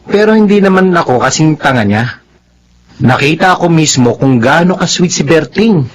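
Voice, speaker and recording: A man's voice: on the high side, animated, moderate pace. A man acting a catty, mocking young gossip in a Filipino radio drama, with a teasing, swooping delivery.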